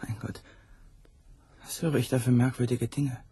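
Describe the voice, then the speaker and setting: An actor playing a troubled, disbelieving lover in a radio play, soft-voiced, earnest and questioning. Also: male voice, medium pitch, level tone, unhurried, dry recording. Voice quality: soft, breathy. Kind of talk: intimate German radio play acting.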